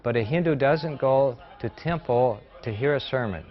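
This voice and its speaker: A man's voice: mid-range voice, normal speed, faint music behind. An American man explaining things informally in an interview, in plain conversational speech. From United States. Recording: slightly muffled interview audio.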